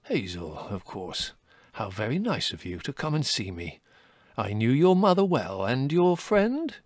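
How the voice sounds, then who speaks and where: A man's voice: mid-range voice, big pitch swings, a little slow, dry recording. A man voicing an aging chief rabbit in a storybook, drawling, over-polite, detached and condescending. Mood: gracious, vague. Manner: drawling, over-polite, unruffled, with musing repetitions.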